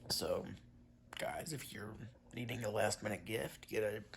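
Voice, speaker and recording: A congested man talks casually to his viewers, pausing often and restarting his sentences. His voice is low and gravelly, and his pace is slow. A faint hiss sits under the recording. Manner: halting, many fillers and restarts. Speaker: vlogger. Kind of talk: casual vlog chat to viewers.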